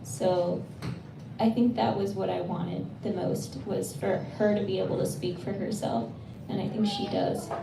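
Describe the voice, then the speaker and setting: Woman translator, earnest and thoughtful, gravelly edges, distant mic, roomy noisy bookstore. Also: medium pitch, fairly even intonation, unhurried. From United States. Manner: thoughtful, earnest, with frequent restarts. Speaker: poetry translator. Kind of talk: answering questions at a live reading. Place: bookstore event space.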